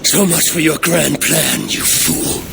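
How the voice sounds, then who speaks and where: An actor playing a grave, proud and commanding warrior in a dramatic video game, speaking in a stern, declamatory manner. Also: male voice, on the high side, animated, takes it slowly, gravelly, very noisy, full character voice. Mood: scornful, triumphant.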